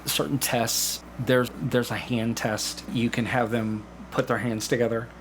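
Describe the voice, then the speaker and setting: A man's voice: medium pitch, little pitch movement, unhurried, a little hiss or hum, rough recording. A man being interviewed as a guest, explaining his work conversationally with illustrative examples. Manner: explanatory, acts out examples in quoted dialogue. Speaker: podcast guest, clinical hypnotherapist and community actor.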